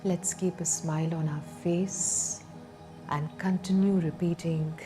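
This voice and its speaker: A woman leading a guided meditation in a calm, soothing, measured way, leaving long pauses between phrases. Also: fairly low pitch. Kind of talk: slow guided meditation narration. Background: background music.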